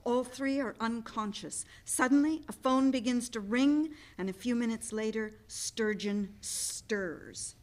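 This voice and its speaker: A woman's voice: a little high, measured pace, hardly any pauses, a little mellow. A woman hosting a play reading and reading out narration to a live audience. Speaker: event host and stage directions reader. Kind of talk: live event hosting and narrated stage directions.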